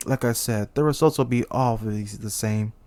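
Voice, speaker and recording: A man's voice: lowish voice, moderate pace, a little mellow, no echo, close to an everyday voice. A man voicing a haughty, self-pitying demon king who was once human, in a theatrical, exasperated dramatic monologue. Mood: resolved, brooding. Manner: theatrical, exasperated, sighing, lofty speech slipping into slang. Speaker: voice actor. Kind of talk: dramatic character monologue for a voice reel.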